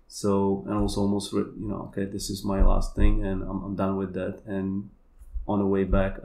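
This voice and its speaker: A man with a Polish accent, a native Polish speaker, talking in a halting, searching way as a podcast guest. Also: lowish voice, vocal fry, darkish tone. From Poland. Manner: halting, searching for words, many fillers. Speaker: kambo practitioner guest. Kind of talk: casual conversation.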